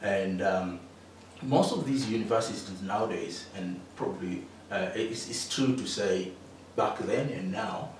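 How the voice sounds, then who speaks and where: A man's voice: lowish voice, unhurried. A middle-aged man from Tanzania answering interview questions about his engineering career, with many fillers and restarts. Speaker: interview guest, mining engineer. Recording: echoey room, distant mic, faint noise.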